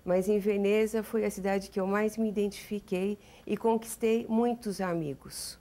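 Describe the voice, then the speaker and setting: Middle-aged Paulistana artist, talkative guest on a TV interview. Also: female voice, mid-range voice, steady tone, normal speed, mellow. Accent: Paulistano Brazilian Portuguese. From São Paulo, Brazil. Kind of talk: relaxed interview answers.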